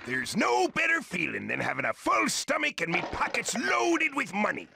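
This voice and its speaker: An actor playing a greedy, blustery old crab boss with a gravelly, salty sea-captain manner in a cartoon game. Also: male voice, high voice, huge pitch swings, moderate pace, music in the background, exaggerated voice. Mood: jovial, greedy. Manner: blustery, salty pirate-like talk. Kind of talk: video game cartoon acting.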